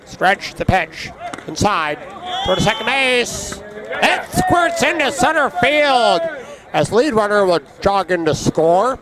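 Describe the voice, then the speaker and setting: A man calling a baseball game play-by-play, his voice raspy and hoarse from allergies, chatting between pitches. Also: very animated, slow, a crowd in the background. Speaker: play-by-play announcer.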